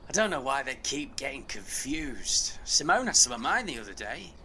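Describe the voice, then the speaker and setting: Chatty neighbour guy in an audio play, bright voice, animated, bit of ambient noise. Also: mid-range voice, moderate pace. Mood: chatty, concerned. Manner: conversational, chatty. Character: chatty, neighbourly man sharing local gossip. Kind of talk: audio drama acting.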